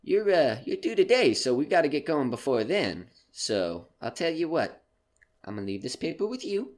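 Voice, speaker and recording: A man playing a sly, genial but menacing supernatural debt collector in an audio horror drama, drawling and teasing with casual pauses. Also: on the high side, sing-song. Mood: casually persuasive.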